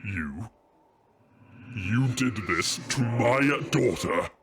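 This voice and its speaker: A man playing a massive regal male dragon king, a doting but stern father who speaks in a commanding, deliberate and formal way in a fantasy audio drama. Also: fairly low pitch, lively intonation, a crowd in the background. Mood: menacing. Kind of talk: fantasy audio roleplay acting.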